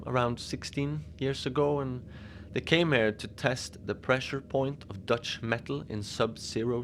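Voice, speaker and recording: A man playing an easygoing, whimsical lone Antarctic station manager, rambling in a conversational, deadpan audio-fiction monologue. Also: medium pitch, vocal fry, slight background noise, lightly acted. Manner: musing, conversational, deadpan about absurd things. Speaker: fiction podcast narrator, lone facility manager at a polar station. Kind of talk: first-person audio fiction monologue, rambling radio log.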